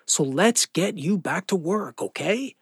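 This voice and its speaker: A kindly male doctor in an audio drama. His voice sits a little high, measured and reassuring, in a dry close recording. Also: animated, breathy edge, no echo, full character voice. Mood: reassuring, careful. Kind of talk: naturalistic audio drama acting.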